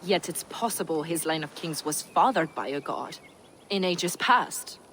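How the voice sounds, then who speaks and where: A cryptic, devout scholar woman in a game cutscene. Her voice sits low, her phrasing is measured and ornate, slightly condescending, over faint ambience. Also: a bit bright, slight background noise. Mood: reverent. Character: learned, devout female mystic, cryptic and condescending. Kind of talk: video game cutscene acting.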